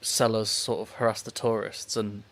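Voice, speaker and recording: A British man co-hosting a comedy podcast, joking casually in a wry, halting way. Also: fairly low pitch, flat delivery, fairly bright tone. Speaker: podcast co-host.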